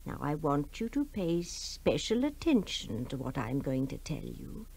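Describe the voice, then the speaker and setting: An actress playing an old granny telling eerie cautionary tales in a radio drama, hushed and halting with sudden dramatic emphasis. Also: female voice, low voice, big pitch swings, creaky, husky, exaggerated voice. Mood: hushed, ominous. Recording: 1960s radio broadcast, faint noise.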